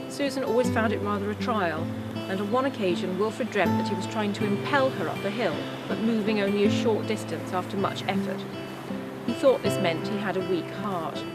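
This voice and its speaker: A woman presenting a local history tour as scripted narration, recorded outdoors in town streets. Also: medium pitch. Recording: outdoor location sound with clear traffic noise.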